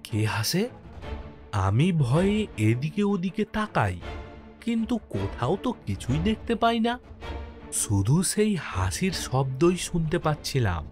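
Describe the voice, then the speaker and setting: Man playing a jittery postmaster, animated, swooping delivery. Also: a little high, measured pace, music in the background. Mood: suspenseful. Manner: suspenseful, theatrical. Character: jittery postmaster narrating his own haunting. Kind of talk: dramatic horror cartoon narration.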